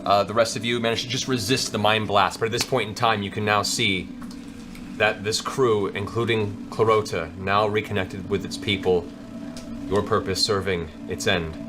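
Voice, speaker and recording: A man narrating a tabletop game as game master, in a theatrical, descriptive way with dramatic pauses. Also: fairly low pitch, fairly even intonation, background noise. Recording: music behind. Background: background music.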